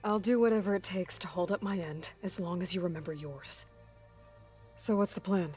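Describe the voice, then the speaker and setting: Actress doing a determined young game heroine, kinda low voice, wry and no-nonsense. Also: female voice, moderate pace, darkish tone, soft background music, natural voice. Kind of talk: video game cutscene acting.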